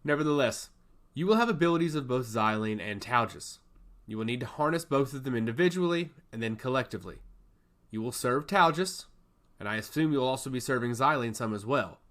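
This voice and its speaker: A stern god of intellect, as a male narrator plays him, lays down the law with sweeping, animated pitch. His delivery is solemn and emphatic, with a sly edge, in the manner of a fantasy audiobook. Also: a little fast, close to an everyday voice. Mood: stern, warning. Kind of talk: fantasy audiobook character acting.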